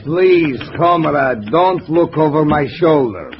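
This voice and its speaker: An actor playing a haughty, temperamental Russian playwright in a theatrical, petulant 1950s radio acting style. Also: male voice, a little high, lively intonation, slow. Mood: irritable. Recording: muffled old radio recording with noise.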